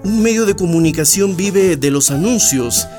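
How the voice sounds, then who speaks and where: A man's voice: high-pitched, expressive, moderate pace. A slick male mining salesman in a radio drama, ingratiating and shady. His intonation swings widely as he leans on key words, with light music underneath. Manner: unctuous, emphatic, repeats words for effect. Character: smooth-talking mining company salesman, ingratiating, corrupt.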